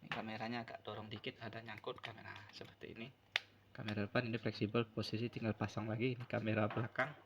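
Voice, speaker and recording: A man with a lowish voice talks through a practical repair tutorial. His intonation stays flat as he repeats each step in simple, unscripted phrases. The recording is somewhat dull and lo-fi. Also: fast, background noise. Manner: repetitive step-by-step explaining. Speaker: phone repair tutorial presenter.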